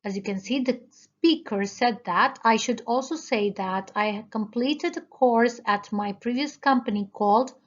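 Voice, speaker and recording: Lady teacher explaining answers nice and slow, higher voice, muffled lo-fi sound. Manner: slow, careful explaining to students. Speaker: English teacher. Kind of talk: going over listening test answers. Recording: dull lo-fi recording.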